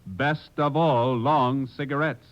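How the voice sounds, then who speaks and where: A man's voice: mid-range voice, fairly even intonation, takes it slowly. A man with an American accent doing formal, emphatic scripted announcing in 1950s radio style. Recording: dull old radio recording with music.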